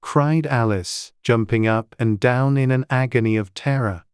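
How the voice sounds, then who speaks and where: A man's voice: medium pitch, a little slow, clear, resonant tone, no room sound, clean studio sound. A man reading scripted storybook narration as an audiobook narrator.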